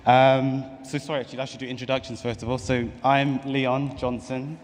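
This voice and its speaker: A man's voice: mid-range voice, little pitch movement, moderate pace. A man with a London accent moderating a conference panel, speaking informally to introduce the session. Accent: London English. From London, England. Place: large conference hall on stage. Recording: echoey hall PA, noticeable noise.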